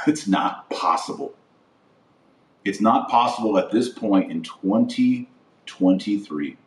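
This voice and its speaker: A man delivering a political and religious monologue as a podcast host, emphatic and deliberate, repeating phrases for weight. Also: lowish voice. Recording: roomy echo, distant microphone.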